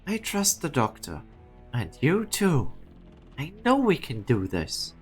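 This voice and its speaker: A woman playing a steady, determined operative in a game fan dub, speaking earnestly. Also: low voice, slow pace, soft background music, heavily acted. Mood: resolute. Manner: earnest, resolute.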